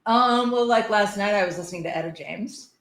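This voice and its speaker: A woman speaks casually as an interview guest. The sound is clearly roomy and echoey, as on a remote call. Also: mid-range voice, fairly quick, a little hiss or hum. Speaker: podcast guest, psychiatric practitioner.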